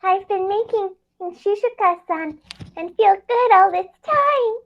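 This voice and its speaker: A woman's voice: very high-pitched, animated, slow pace, dull audio. A woman casually heckling and giving mock line readings as a co-reader.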